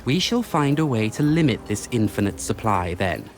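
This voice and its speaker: A man playing a reasonable, educated Roman nobleman and advisor in a video game, measured, formal and articulate. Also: medium pitch, big pitch swings, faint music behind, natural voice. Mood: approving, assured. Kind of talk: scripted video game acting.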